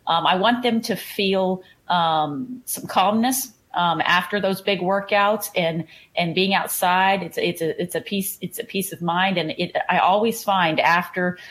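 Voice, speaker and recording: A woman's voice: on the low side, unhurried, hardly any pauses, fairly bright tone. A woman with a Texan accent, a triathlon coach chatting in a podcast interview and often repeating words as she starts a thought. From Houston, Texas.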